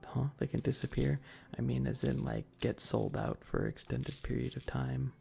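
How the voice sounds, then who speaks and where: Very creaky, soft-spoken ASMR streamer. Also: mid-range voice, huge pitch swings, moderate pace. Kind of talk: ASMR livestream, casual chat with viewers. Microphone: close adjustable microphone.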